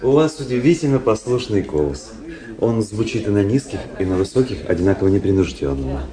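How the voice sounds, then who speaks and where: An actor playing a cool, world-weary young aristocratic officer in a Russian radio drama, dry, polished and ironic. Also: male voice, fairly low pitch, very animated, fairly quick, a crowd in the background. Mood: mocking, ironic. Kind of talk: Russian literary radio drama acting and narration.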